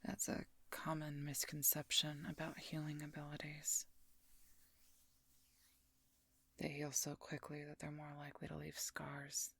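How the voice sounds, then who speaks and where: Wounded werewolf knight, female, played by a woman: protective, weary, wry. Very breathy whisper, dry close sound, intimate roleplay audio. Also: low-pitched, completely flat delivery, moderate pace, a bit bright, no room sound, full character voice. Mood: tired, guarded. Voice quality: breathy, husky, gravelly whisper.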